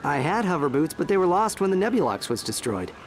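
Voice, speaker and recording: High-voiced young alien hero. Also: male voice, very animated, fast talker, a little hiss or hum. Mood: curious, earnest. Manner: direct, earnest. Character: young heroic male furry alien adventurer, principled. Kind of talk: animated game character acting. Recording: loud music over the voice.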